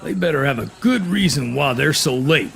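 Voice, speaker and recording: An actor playing a grumpy, foul-mouthed Old West sheriff, gravelly and grumbling, in an audio drama. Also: male voice, a little high, lively intonation, normal speed, fairly bright tone, slight background noise. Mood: irritated. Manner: grumbling, irritable. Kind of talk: audio drama western acting.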